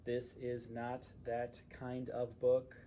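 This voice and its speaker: Guy doing a robot for a kids book, really slow n stilted, kinda literal, some noise behind. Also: mid-range voice, monotone, dark tone, lightly acted. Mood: matter-of-fact.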